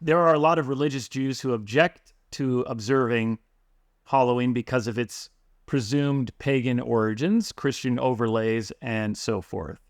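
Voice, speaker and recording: A man hosting an interview, speaking in a relaxed way and thinking aloud with fillers. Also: medium pitch, normal speed, dry recording, studio quality. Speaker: podcast host. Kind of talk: conversational interview.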